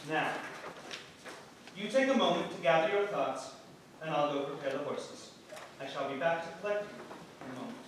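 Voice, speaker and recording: Actor as a high-voiced devoted husband, echoing stage. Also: male voice, animated, normal speed, long pauses, a bit bright. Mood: tender, reassuring. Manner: earnest, tender, theatrical stage delivery. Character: devoted husband, gently playful, in early modern England. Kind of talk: staged play reading before an audience. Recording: roomy theatre, distant mic, faint noise.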